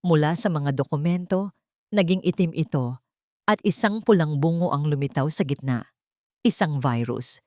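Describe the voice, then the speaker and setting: A woman's voice: sits low, animated, normal speed, a little mellow, dry recording, clean studio sound. A woman reading a scripted, dramatic first-person story as an audio drama narrator.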